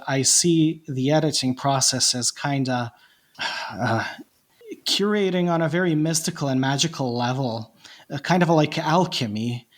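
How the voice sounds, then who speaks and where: Bosnian guy on a podcast, non-native english, fairly high voice, lots of ums and restarts. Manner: thoughtful, reflective, with frequent restarts and fillers. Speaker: podcast host, guest. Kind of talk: casual podcast conversation.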